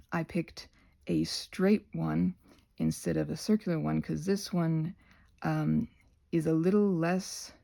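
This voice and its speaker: A woman's voice: lowish voice, gravelly, warm tone. A woman hosting an informal craft tutorial, talking in a scattered, playful way and often interrupting herself with asides. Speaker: host of a craft tutorial channel.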